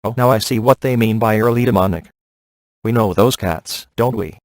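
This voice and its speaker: A man's voice: on the low side, moderate pace, dry recording, natural voice. A man voicing a cocky, wisecracking, flirtatious middle-aged ghost hunter in a video game, with dry, sarcastic delivery. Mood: wry. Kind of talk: video game voice acting, one-liners.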